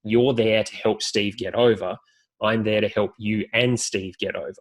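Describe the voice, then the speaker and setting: Low-voiced, articulate Australian man. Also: steady tone, normal speed. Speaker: guest, wrestling announcer. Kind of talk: podcast interview talk.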